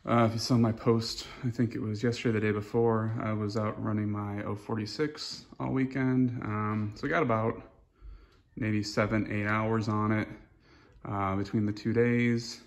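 A man casually showing a chainsaw filter in his garage workshop, talking in a relaxed, rambling way with lots of fillers, recorded on a phone held close. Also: lowish voice, normal speed. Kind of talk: casual hands-on show-and-tell. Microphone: phone camera held close.

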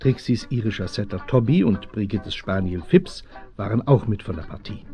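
A man's voice: on the low side, lively intonation, normal speed, mellow, faint music behind. A man narrating a children's detective radio play as the storyteller.

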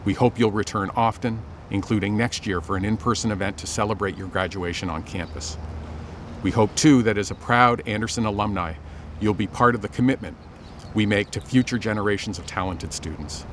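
A middle-aged man giving a formal, scripted commencement address as a dean, speaking in a measured, earnest way with deliberate phrasing. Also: fairly low pitch, fairly bright tone, slight background noise. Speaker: business school dean. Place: outdoors on an open campus plaza.

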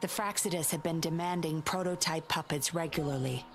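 Composed, low-voiced young female investigator. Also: brisk, a little hiss or hum. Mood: businesslike. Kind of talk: video game voice acting.

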